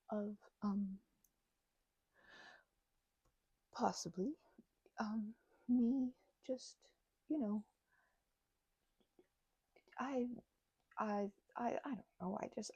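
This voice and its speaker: Casting: woman to play a nervous, self-effacing female vampire for ASMR roleplay; stammering, breathy delivery, very close and dry sound. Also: medium pitch, dark tone, no echo, lo-fi sound, heavily acted. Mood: shy, hesitant. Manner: stammering, halting, self-effacing, often dropping to a whisper. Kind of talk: intimate ASMR audio roleplay.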